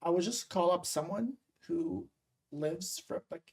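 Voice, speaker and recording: A woman from Montreal hosts a casual podcast chat. Her voice sits low with a gravelly fry running through it, and she talks in an animated way, scattering fillers as she goes. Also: a little slow. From Montreal, Canada. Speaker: podcast host. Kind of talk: casual interview.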